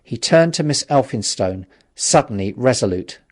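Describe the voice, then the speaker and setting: A man reading a classic novel as an audiobook narrator, in measured, dramatic storytelling. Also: medium pitch, lively intonation.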